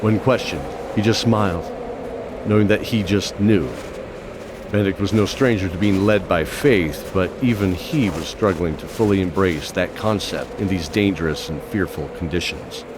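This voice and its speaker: A man's voice: low voice, moderate pace, close to an everyday voice. A man performing as a solemn storyteller, giving scripted dramatic narration of a fantasy audio drama. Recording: clear background ambience noise.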